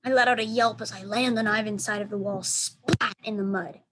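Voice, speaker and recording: A woman teacher reading a children's storybook aloud in expressive narration. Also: medium pitch, normal speed. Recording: clear background noise.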